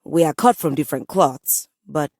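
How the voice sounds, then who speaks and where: A woman's voice: low voice, normal speed, darkish tone, no room sound, clean studio sound. A woman playing a proud, fussy living piano in a video game, speaking as a theatrical lady composer with formal, haughty diction. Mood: indignant, haughty. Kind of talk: video game character dialogue.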